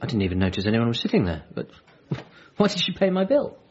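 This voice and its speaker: A man's voice: mid-range voice, huge pitch swings, a little fast, husky, lo-fi sound, exaggerated voice. An actor playing an earnest, bereaved man, hesitant and apologetic, in a radio comedy drama. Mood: awkward, sad. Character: bereaved, awkward, earnest adult son working as a nurse.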